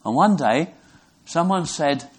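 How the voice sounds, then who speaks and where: Earnest, halting English preacher. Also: male voice, on the high side, expressive, breathy edge. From Brixham, England. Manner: earnest, halting, restarts words. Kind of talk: sermon to a congregation.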